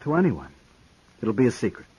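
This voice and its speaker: A man playing a proper young Eastern gentleman in a 1950s radio drama, formal and pleading. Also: medium pitch, wildly swooping pitch, brisk, husky, full character voice, low-quality recording.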